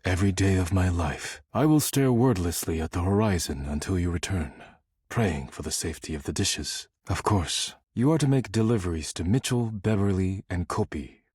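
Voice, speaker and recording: Proud game character, very low husky male voice, formal, curt, solemn deadpan, easily offended, dry close recording. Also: moderate pace, dry recording. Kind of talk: scripted video game character acting.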